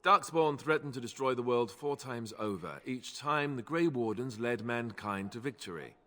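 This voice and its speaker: A man voicing an earnest, self-deprecating young knightly warrior in a video game, explaining things in a measured way. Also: medium pitch, fairly bright tone, long reverb tail, lightly acted.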